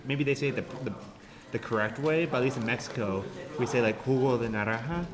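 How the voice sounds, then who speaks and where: A man's voice: mid-range voice, a little fast. A young man with a Californian accent, chatting casually in a hostel and rambling with lots of "like". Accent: Californian American English. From California, United States. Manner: rambling, heavy use of "like". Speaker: traveler in a group chat. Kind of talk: casual chat.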